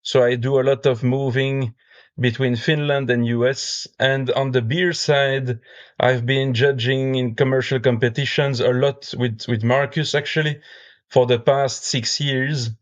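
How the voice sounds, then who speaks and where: A man's voice: mid-range voice, flat delivery, measured pace, lo-fi sound. A man in his forties from Belgium with a French accent, talking casually as a podcast guest, with many fillers and restarts. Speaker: guest, brewer and beer judge.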